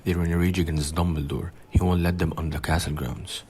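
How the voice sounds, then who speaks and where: A man acts an older government minister for an audio drama, courteous and grave. His voice sits extremely low, and he speaks formally and with measure. A faint noise lies under the recording. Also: steady tone, a little fast, darkish tone, natural voice. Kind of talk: amateur audio drama acting.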